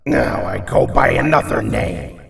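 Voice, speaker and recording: A man voicing an ancient, proud demon villain in an audio comic book, with a raspy voice and a grandiose, menacing delivery. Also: lowish voice, big pitch swings, distant mic, pitched below the performer's own voice, exaggerated voice. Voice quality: raspy, gravelly. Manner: slow, grandiose, deliberate.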